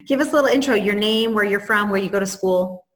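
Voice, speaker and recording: A woman's voice: mid-range voice, quick pace. A woman co-hosting a podcast, asking interview questions and encouraging her guest with frequent mm-hmms.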